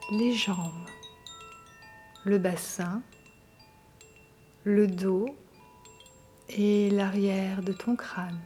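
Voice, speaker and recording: A woman leads a guided relaxation at a very unhurried pace. Her voice is soft-edged and mellow, with a gentle, soothing delivery. Faint music plays behind her. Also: mid-range voice. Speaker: yoga nidra guide. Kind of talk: guided meditation narration. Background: soft meditation music with chimes.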